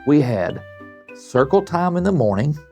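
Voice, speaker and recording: A cheerful, kind young schoolgirl in a storybook, acted by a man reading aloud. The delivery is gentle and enthusiastic, with very animated pitch and some creak over soft music. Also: measured pace, full character voice. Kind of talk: children's storybook read-aloud.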